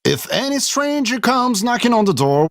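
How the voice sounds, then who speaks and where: An actor playing a cautious, serious male dwarf leader in an animated fairy tale, with firm, deliberate delivery. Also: very high-pitched, dry recording, clean studio sound, heavily acted. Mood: stern warning.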